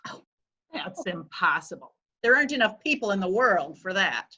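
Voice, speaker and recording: A woman playing an outspoken woman friend in an amateur stage reading, exclaiming and animated. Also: mid-range voice, moderate pace, husky, highs cut off, exaggerated voice. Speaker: reader in a play reading. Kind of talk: amateur readers' theatre acting.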